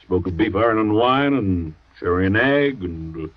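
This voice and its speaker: Casting: actor as a relaxed family doctor, lowish voice, dull radio sound. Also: male voice, moderate pace, no room sound, close to an everyday voice. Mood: easygoing. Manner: easygoing, reassuring, vague. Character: genial family doctor, Doctor Hanby. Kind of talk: 1940s radio acting. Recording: dull lo-fi old radio recording.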